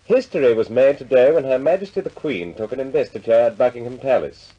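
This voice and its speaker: An actor playing a formal 1940s British radio newsreader, measured, with a Received Pronunciation accent. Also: male voice, mid-range voice, lively intonation, breathy edge. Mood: formal, solemn. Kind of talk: 1940s radio news reading. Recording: dull lo-fi vintage radio sound.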